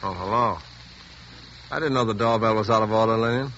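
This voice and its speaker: An actor playing a resentful, plain-spoken American husband in a 1940s radio drama, confiding and blunt. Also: male voice, on the low side, a little fast, a bit bright, a little hiss or hum. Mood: wary. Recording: muffled 1940s radio with music behind.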